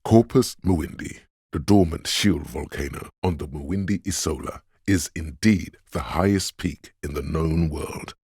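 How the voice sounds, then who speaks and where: An actor voicing an erudite, worldly inner voice of knowledge in a video game, narrating facts in a measured, dry, scholarly way. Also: male voice, extremely low pitch, darkish tone, breathy edge, dry recording, heavily acted. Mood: measured, knowing.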